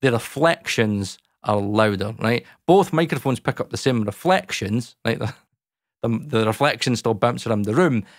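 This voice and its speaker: A Scottish man in his thirties with a dark, boomy voice, chatting casually to camera about recording from his small home studio, talking close into a dynamic mic. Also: animated, normal speed, dry recording. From Scotland. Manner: chatty, lots of fillers, talks with his hands. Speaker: YouTube audio educator. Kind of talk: casual explainer talk to camera. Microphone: large dynamic mic close in front.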